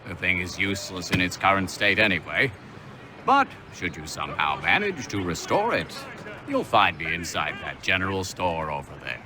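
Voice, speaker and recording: An actor playing a genial, wordy old gentleman scholar in a video game, with theatrical, old-fashioned, rambling delivery. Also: deep voice, big pitch swings, moderate pace, noisy background. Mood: genial, wry. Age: older. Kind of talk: video game cutscene acting.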